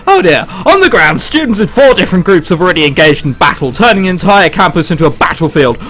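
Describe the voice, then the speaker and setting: A man commentating on a game and reading its text aloud in a casual, joking and animated way. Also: high voice, brisk, faint music behind. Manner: animated, joking, frequent swearing and exclamations. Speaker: let's play host.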